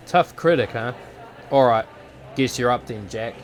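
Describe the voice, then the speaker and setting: A man playing a cocky young fighter with a dark, twisted side in a visual novel. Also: mid-range voice, slow, some chatter behind, a little hiss or hum, natural voice. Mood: calm, reflective. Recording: voice over background music.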